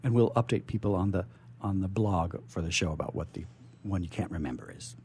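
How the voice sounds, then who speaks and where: A man's voice: low-pitched, expressive, a little fast, a little mellow, slightly rough. A man hosting a radio interview, informal and conversational, prompting his guest and often repeating his phrases. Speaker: radio show host, interviewer.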